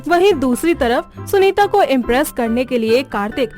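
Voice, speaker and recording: A woman reading scripted narration for an animated moral story. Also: high voice, normal speed, faint music behind.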